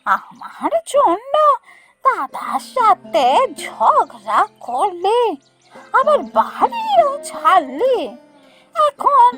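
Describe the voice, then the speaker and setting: A woman voicing a frail, kindly old beggar granny in a fairy-tale cartoon, humble and halting, with a plaintive manner. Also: very high-pitched, fairly bright tone, exaggerated voice. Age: older.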